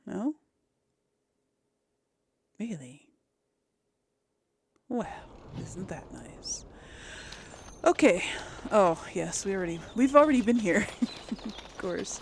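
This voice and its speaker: A woman's voice: on the low side, vocal fry, no echo. A woman giving casual video game commentary, thinking aloud as she chats to her audience. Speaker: host of a video game let's play.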